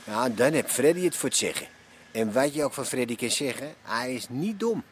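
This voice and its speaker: An actor in an audio drama playing an opinionated, earthy café gossip. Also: male voice, mid-range voice, lively intonation, moderate pace, slight background noise, lightly acted. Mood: gossipy. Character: gossiping male café regular from the underworld.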